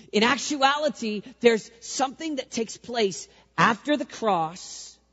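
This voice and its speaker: A male youth pastor with a squeaky voice, preaching an energetic sermon, repeating phrases for emphasis and leading the crowd in call-and-response. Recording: echoey hall, dull lo-fi sound.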